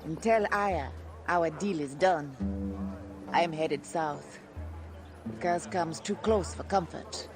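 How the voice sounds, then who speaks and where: A guarded underworld informant in a video game, with a notably high male voice. He talks in clipped, ominous phrases over loud music. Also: takes it slowly, pauses often. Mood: foreboding. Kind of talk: dramatic video game acting.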